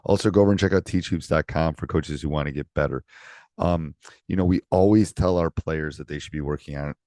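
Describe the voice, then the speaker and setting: A man hosting a coaching podcast in a low voice. He is eager and welcoming and drops in "um" and "you know" as he talks. The sound is dry and close. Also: fairly quick, warm tone, no room sound. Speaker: podcast host. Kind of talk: podcast hosting and sponsor reads.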